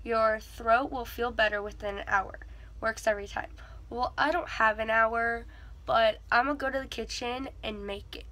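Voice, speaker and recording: A woman's voice: fairly high pitch, fairly even intonation, normal speed, a bit bright. A teenage girl with a cold and a congested nose, chatting casually to her channel from her bedroom, with lots of "like" fillers. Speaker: vlogger. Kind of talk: casual chat. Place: bedroom with a tapestry behind her.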